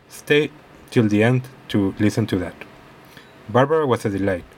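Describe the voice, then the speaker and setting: A man hosts his podcast and reads its opening script in an upbeat, promotional way. His voice sits at a middle pitch. A faint hum of room ambience runs underneath. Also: rough recording. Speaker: podcast host. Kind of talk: scripted podcast intro, then casual interview. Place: office meeting room.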